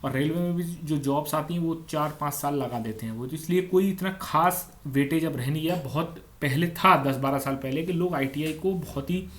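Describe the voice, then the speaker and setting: A man's voice: on the high side, moderate pace, few pauses, fairly bright tone. A man giving an informal explainer as an exam coach, conversational and repeating points for emphasis. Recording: noticeable background noise.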